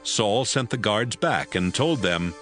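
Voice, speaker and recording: A man's voice: on the low side, lively intonation, takes it slowly, a bit bright, soft background music. A man narrating a dramatized scripture audiobook.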